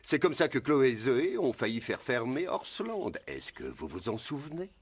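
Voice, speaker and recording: Wise cartoon dog, very deep and expressive, measured and admonishing. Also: female voice, slight background noise. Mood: gently scolding. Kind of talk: animated cartoon French dub acting.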